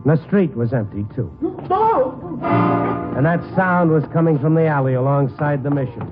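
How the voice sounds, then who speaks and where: An actor playing a world-weary, wisecracking reporter in a hardboiled 1950s radio noir, both narrating and in scene. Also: male voice, fairly high pitch, moderate pace, lightly acted. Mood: wry, brooding. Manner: hardboiled, wry, terse. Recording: narrow, muffled 1950s radio broadcast. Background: dramatic background music.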